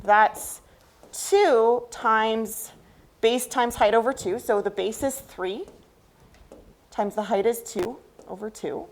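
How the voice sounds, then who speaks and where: A woman teaching a math class, explaining step by step and often checking understanding with questions. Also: a little high.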